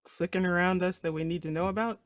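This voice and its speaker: A woman's voice: on the low side, fairly even intonation, fast. A woman playing a tabletop game, casually explaining her character's equipment and actions.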